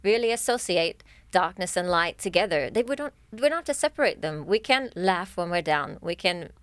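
A woman's voice: lowish voice, lively intonation, moderate pace, clear, resonant tone, dry recording. A woman life coach speaking as a podcast guest in an earnest, encouraging way, often restarting her phrases. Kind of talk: casual podcast chat.

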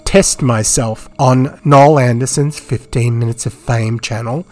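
A man hosting a solo podcast, chatting off the cuff with a croaky, hoarse voice, rambling with many fillers and self-deprecating asides. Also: medium pitch, steady tone, unhurried, a little mellow. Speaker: podcast host. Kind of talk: unscripted solo podcast chat. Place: home, isolating. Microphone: phone.

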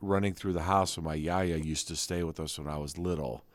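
A man's voice: sits low, fairly even intonation, a little fast. A middle-aged man co-hosting a podcast, telling fond, rambling stories in casual chat. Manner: rambling storyteller, fond and nostalgic. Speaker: podcast co-host.

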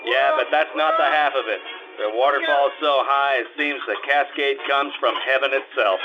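Man as a wisecracking hard-boiled private eye, laconic, mid-pitched, slightly muffled. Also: normal speed, over music, natural voice. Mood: wry. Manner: dry wisecracks, laconic first-person narration. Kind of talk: 1950s radio drama acting.

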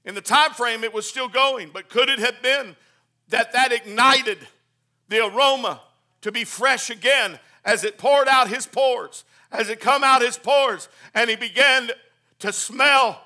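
A man preaching a Pentecostal sermon, swinging from hushed, quick asides to shouted hallelujahs. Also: very high voice. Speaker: pastor. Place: church.

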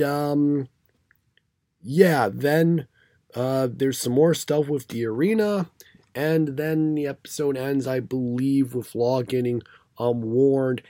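Hoarse male podcast co-host, halting recap delivery, dry close sound. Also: medium pitch, warm tone, no room sound. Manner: halting, many fillers and restarts. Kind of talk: casual chat recapping episodes.

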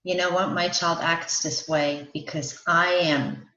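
A woman's voice: lowish voice, flat delivery, unhurried, sharp tone. A woman from Austria, a parenting coach, explaining her work earnestly as a podcast guest. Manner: earnest, explanatory, laughs between points. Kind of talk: interview answers. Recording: roomy, dull lo-fi sound.

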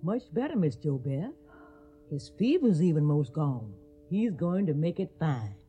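A woman's voice: deep voice, sing-song, a little slow, husky, muffled recording, soft background music, exaggerated voice. A woman playing an older, motherly Southern mammy in a radio drama, plain-spoken and soothing. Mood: reassuring.

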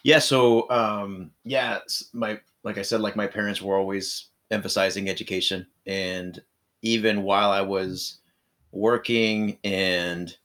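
A man from northern Mexico, a podcast guest, talking in a relaxed way with plenty of filler words and chuckles. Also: on the low side, measured pace. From Rosarito, northern Mexico. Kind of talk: casual chat.

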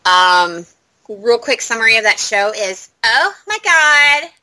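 A woman's voice: fairly high pitch, big pitch swings, slow pace, sharp tone, rough recording. A woman in her thirties chatting casually as a podcast co-host, telling stories dramatically and swearing freely. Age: 30s. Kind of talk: casual chat, animated storytelling.

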